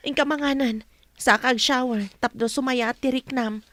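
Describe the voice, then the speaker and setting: A woman's voice: high-pitched, fairly even intonation, moderate pace, a bit bright, slight background noise. An actress with an Ilocano accent playing a patient young wife and mother who meekly endures a drunkard husband in a radio drama. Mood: patient, subdued.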